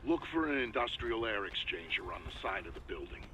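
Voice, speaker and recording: Game mission handler, businesslike, low but sharp voice, crisp briefing. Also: male voice, big pitch swings, a little fast, a little hiss or hum. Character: businesslike male mission handler giving tactical instructions. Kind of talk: acted video game briefing.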